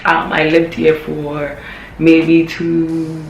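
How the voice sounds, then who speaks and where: A young woman with a hoarse, worn voice, chatting candidly to her vlog viewers and repeating phrases for emphasis. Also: deep voice, monotone, very slow, lo-fi sound, soft background music. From Ghana. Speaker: vlogger. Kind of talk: casual talking-to-camera vlog. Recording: echoey room.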